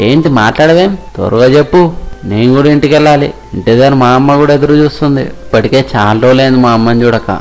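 A man voicing a hardworking, plain-spoken young village fisherman in an animated moral story. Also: mid-range voice, fast talker, soft background music, lightly acted. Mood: insistent. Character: hardworking, stubborn but kind young fisherman.

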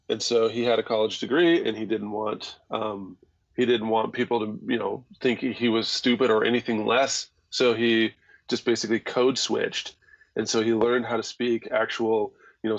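A man's voice: on the low side, gravelly. A man co-hosting a podcast, talking casually and telling personal stories. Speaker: podcast co-host. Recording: dull, lo-fi voice recording.

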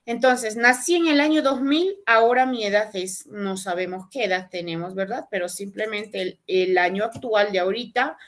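A woman's voice: mid-range voice, a bit bright. Patient woman teaching math. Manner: patient, repeats each phrase slowly. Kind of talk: online class explanation. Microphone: webcam on video call.

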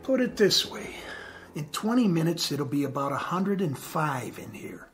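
An actor playing a gruff, insolent working-class janitor in a radio drama, blunt and mocking. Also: male voice, a little high, sing-song, normal speed, fairly bright tone, faint music behind. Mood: brusque.

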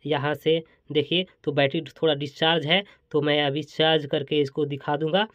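A man with a slightly high-pitched voice presents products casually to his viewers. He talks in exclamations and repeats himself to build hype. The recording is a little dull, with noticeable room echo. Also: level tone, normal speed, fairly bright tone. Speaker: host of a toy and gadget showcase channel. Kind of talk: casual product showcase chat.

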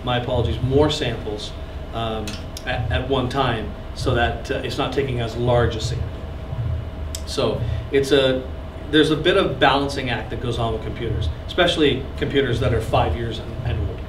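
A man's voice: mid-range voice, steady tone, normal speed. A man in his thirties running a live recording workshop, explaining gear and joking with his audience. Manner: chatty, joking with the audience, gesturing while explaining. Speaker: recording clinic instructor. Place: small classroom with seated audience. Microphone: camera at the back of the room. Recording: echoey room heard from far off, noisy.

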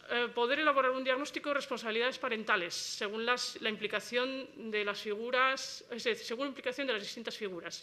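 A woman presenting a research project at an academic conference, brisk, with informal asides and fillers, speaking at a table mic in a lecture hall. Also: on the high side, pushed and bright. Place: lecture hall with a large audience.

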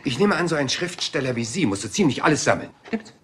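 An actor playing a rumpled, humble police inspector in a German radio crime drama, talking in hesitant, apologetic, rambling questions. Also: male voice, fairly high pitch, big pitch swings, quick pace, fairly bright tone. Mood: musing.

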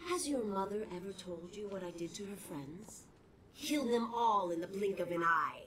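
A woman playing a cruel, gloating female undead villain in a video game, menacing, deliberate and theatrical. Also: mid-range voice, animated, background noise, close to an everyday voice.

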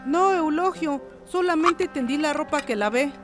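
An actress playing a timid, submissive wife in a radio drama, giving brief, obedient replies. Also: female voice, sits high, expressive, normal speed, soft background music. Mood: meek, guarded.

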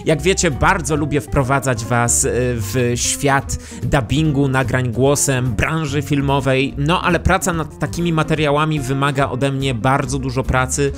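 A man's voice: medium pitch, normal speed. A man in his thirties presenting to camera as a YouTube host, speaking close into a studio microphone. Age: 30s. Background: music.

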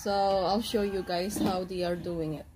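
A woman's voice: fairly low pitch, steady tone, normal speed, noisy background. A woman in her thirties chatting casually into a handheld phone camera on a home vlog and laughing often. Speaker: vlogger. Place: house under renovation in the countryside.

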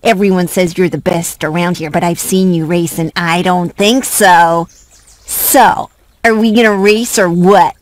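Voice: mid-range voice, steady tone, a little slow, dry recording, lightly acted. An actor voicing a snobbish, obnoxious young boy rival in a game, sneering, boastful and grudging. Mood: smug, grudging. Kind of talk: scripted game cutscene dialogue.

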